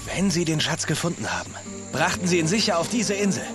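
A man's voice: a little high, sing-song, fairly quick, music in the background. A man playing a wisecracking, stubborn young treasure hunter in a German video game dub. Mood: brisk, wry.

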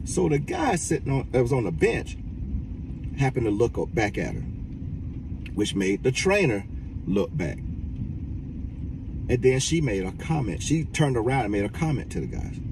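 A middle-aged man giving casual, profane reaction commentary from inside a parked car, recorded on a phone at arm's length, with blunt and exasperated emphasis. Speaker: reaction vlogger. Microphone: phone camera at arm's length. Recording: car rumble and street noise, distant mic.

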